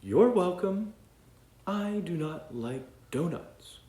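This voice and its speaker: A man voicing a prim, stubborn male panda, speaking slowly and emphatically. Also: high-pitched, lively intonation, dark tone, slight background noise, pitched above the performer's own voice. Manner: slow, emphatic. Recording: echoey room, faint ambience.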